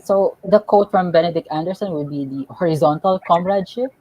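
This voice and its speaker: A young woman speaking as a graduate student on a book-talk panel, explaining her points in a measured way with small restarts. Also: fairly low pitch, a little mellow, low-quality recording. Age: young adult. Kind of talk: panel discussion, academic.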